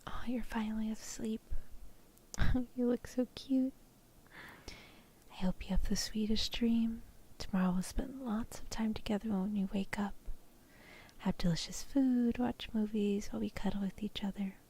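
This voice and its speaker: A woman doing a comforting bedtime ASMR roleplay in a hushed, tender, soothing whisper. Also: on the high side, fairly even intonation, moderate pace, long pauses, slightly rough. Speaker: ASMR streamer, VTuber.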